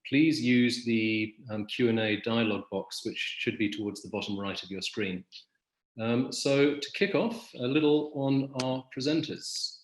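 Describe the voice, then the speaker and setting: Man, webinar host, measured articulate delivery, mid-pitched voice, roomy echo. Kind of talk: business webinar presenting.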